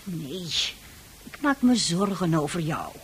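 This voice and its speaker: Husky, weary, worried mother. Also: fairly low pitch, sing-song, slow pace, heavily acted. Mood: reproachful, worried. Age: middle-aged. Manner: hesitant, reproachful. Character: worried, weary, nagging mother of a teenage son. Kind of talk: radio play acting.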